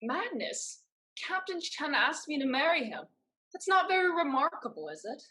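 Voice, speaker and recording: A woman's voice: sits high, sing-song, normal speed, bright, forceful tone, exaggerated voice. A woman playing a bold, headstrong, defiant young survivor in a staged play reading, theatrical and emphatic. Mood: exasperated, defiant.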